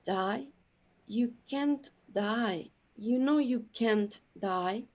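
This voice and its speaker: A woman playing an old, outwardly calm companion in a radio play, measured and matter-of-fact. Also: fairly high pitch.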